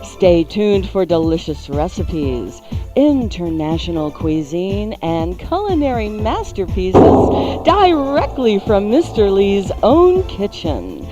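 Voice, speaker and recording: A woman hosting a live morning radio show with a theatrical, playful announcer's delivery. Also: on the low side. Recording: loud music behind the voice. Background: music.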